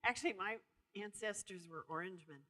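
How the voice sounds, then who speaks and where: A woman's voice: medium pitch, fairly quick, pushed and bright. A woman co-presenting an evening talk, chatty and joking with the audience. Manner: chatty, joking, laughing asides. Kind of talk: casual chat to a live audience. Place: bookstore event room.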